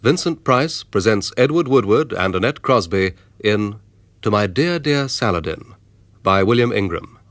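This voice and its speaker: A man gives a dramatic radio announcement. His voice is fairly low, with lively intonation, and sounds dry and close. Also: moderate pace, no room sound. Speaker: programme announcer.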